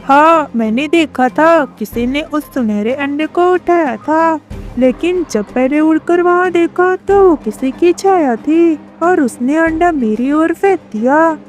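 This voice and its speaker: Cartoon male parrot, played by a woman: high voice, nervous, pleading, music behind. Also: measured pace. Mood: anxious, pleading. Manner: anxious, pleading.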